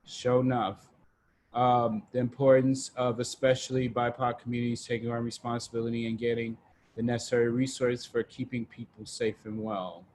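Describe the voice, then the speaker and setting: A man facilitating an online group discussion in a halting, informal, casual way. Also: mid-range voice, flat delivery, darkish tone. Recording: noticeable background noise on online call.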